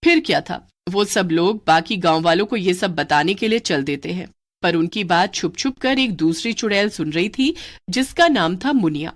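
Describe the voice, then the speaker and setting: A woman telling a cartoon horror story as narrator, in lively scripted narration. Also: fairly low pitch, normal speed.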